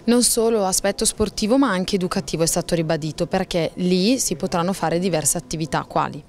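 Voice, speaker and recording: A woman's voice: lowish voice, fairly quick, darkish tone. A young woman asking questions as a local news reporter. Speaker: reporter interviewing officials. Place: indoor office building. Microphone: handheld reporter microphone.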